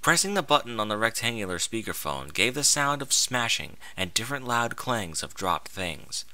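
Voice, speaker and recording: A man's voice: lowish voice, very animated, normal speed, bright, forceful tone, dry recording. A man narrating a scripted story in a theatrical, dramatic manner.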